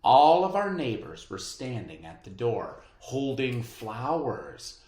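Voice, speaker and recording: The voice is mid-pitched and very animated, belonging to a teacher reading aloud to children. The delivery is unhurried and expressive, and it rings in an echoey room. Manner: expressive, dramatized delivery of story dialogue. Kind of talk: read-aloud storytelling and class instruction.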